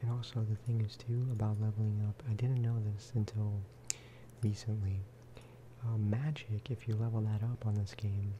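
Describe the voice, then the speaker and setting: A man giving soft-spoken ASMR commentary over a game, casual and rambling. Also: lowish voice, background noise. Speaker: gaming video creator.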